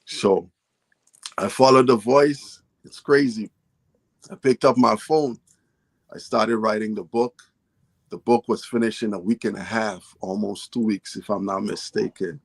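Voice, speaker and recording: A man's voice: lowish voice, steady tone, measured pace, vocal fry, no echo. A man from Guyana, a guest author and poet, telling his story in a casual interview in an earnest, storytelling way.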